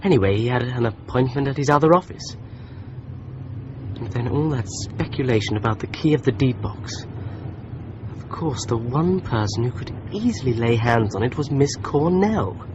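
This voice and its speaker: An actor playing a man who thinks through a murder case aloud in a calm inner monologue while driving. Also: medium pitch, normal speed, highs cut off, noisy background, close to an everyday voice. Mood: musing. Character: thoughtful male amateur sleuth driving. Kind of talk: radio drama.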